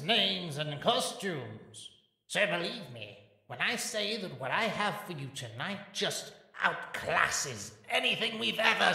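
Man acting pompous villain auctioneer, gravelly, fairly high voice, theatrical sales pitch, echoey. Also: sharp tone, heavily acted. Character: grandiose male supervillain arms dealer playing auctioneer. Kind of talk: comic dub voice acting, public address.

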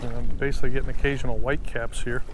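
A man's voice: mid-range voice, little pitch movement, racing. A middle-aged man vlogging while fishing from a windy lakeshore, chatting casually and thinking aloud through an action camera mic. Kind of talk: casual on-the-spot commentary. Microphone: chest or head-mounted action camera. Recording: loud wind noise on the mic.

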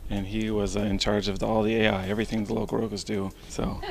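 A man in his thirties from Los Angeles, a game programmer answering interview questions casually in a small office room, thoughtful and halting with many fillers, into a handheld mic. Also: on the low side, flat delivery, vocal fry, background noise. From Los Angeles, USA. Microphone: handheld mic held by interviewer.

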